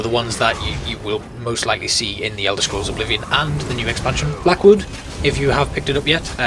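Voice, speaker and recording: A man giving conversational, explanatory commentary as a guide through a video game. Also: fairly low pitch. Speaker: gaming video host, guide. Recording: clear background game noise. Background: game ambience.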